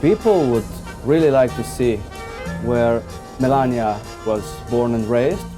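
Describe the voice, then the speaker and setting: A man's voice: mid-range voice, measured pace, music in the background. A man with a Slovenian accent speaking as a tour guide, giving a jokey, rehearsed welcome. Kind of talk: jokey scripted welcome to visitors.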